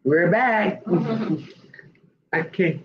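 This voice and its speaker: A woman's voice: fairly low pitch, expressive, extremely slow. Older female guest from Arkansas, conversational storyteller, slightly muffled call audio with light background noise. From Little Rock, Arkansas, United States. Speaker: guest, education advocate. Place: video call livestream.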